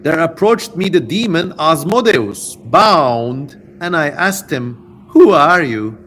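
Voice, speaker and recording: A man's voice: fairly high pitch, very animated, slow, a bit bright, pitched above the performer's own voice, exaggerated voice. A man voicing an imperious ancient king with stern, theatrical, archaic speech in a dramatic reading, over some background noise. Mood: commanding. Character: imperious ancient king interrogating and commanding demons. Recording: noisy livestream audio.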